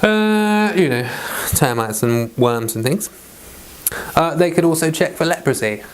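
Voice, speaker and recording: A British man with a fairly high-pitched voice reads and teaches scripture. His delivery is informal and rambling, and he tends to interrupt himself as he explains. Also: expressive, a little slow, clean tone. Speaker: Bible reader and teacher. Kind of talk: read-aloud scripture and informal commentary.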